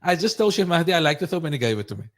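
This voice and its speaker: A man's voice: on the high side, rapid-fire. A man hosting a podcast, telling a story with energy and acting out the lines of the people in it. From not Egyptian. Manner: animated storyteller, acts out quoted lines. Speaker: podcast host. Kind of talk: casual conversation.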